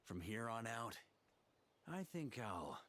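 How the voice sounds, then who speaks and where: A man voicing a steadfast, gently reflective knight grandmaster in a video game. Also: medium pitch, very animated, takes it slowly, a little hiss or hum. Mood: weary relief.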